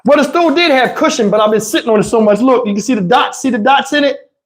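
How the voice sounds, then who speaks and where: A man's voice: very high voice, fast. A man hosting a livestream, chatting with viewers in a slangy, profane, rambling way. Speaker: livestream host. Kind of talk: casual livestream chat with viewers.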